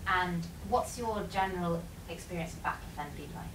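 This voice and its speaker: A woman's voice: lowish voice, normal speed, close to an everyday voice. A woman playing a polite, formal researcher who methodically interviews a patient in a dramatised study. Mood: polite, inquiring. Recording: roomy, distant mic with faint noise.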